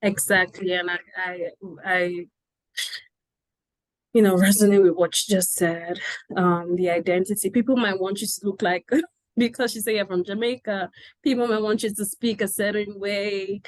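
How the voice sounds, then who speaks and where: A woman from Nigeria hosting a panel conversation over a video call, chatty and quick to laugh, with many fillers. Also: medium pitch, steady tone, no echo, rough recording. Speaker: interviewer.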